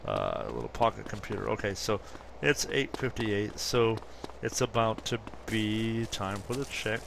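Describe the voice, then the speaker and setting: A man's voice: fairly low pitch, lively intonation, unhurried, gravelly, noisy background. A man in his fifties casually chatting through gameplay commentary, rambling and correcting himself as he goes. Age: 50s. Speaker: gaming YouTuber.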